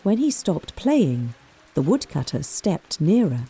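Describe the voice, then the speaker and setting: A woman narrating a scripted folk tale in an expressive, measured storyteller's manner. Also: deep voice, poor audio.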